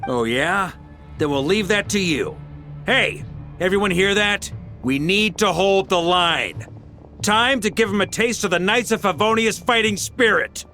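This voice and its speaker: A confident grandmaster knight in a video game. His voice sits fairly high with a bright, forceful edge. He is easygoing in manner, yet clearly used to giving orders. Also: animated, unhurried, faint music behind, exaggerated voice. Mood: rallying. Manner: commanding, easygoing. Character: hearty, confident male grandmaster knight commander. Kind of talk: English video game voice acting.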